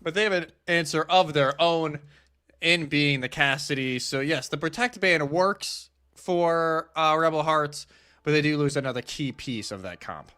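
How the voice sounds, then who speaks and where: A man giving conversational, explanatory analysis as a live esports shoutcaster. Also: fairly high pitch, a little slow, clear, resonant tone. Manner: casual, explanatory. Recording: music behind the voice. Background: music.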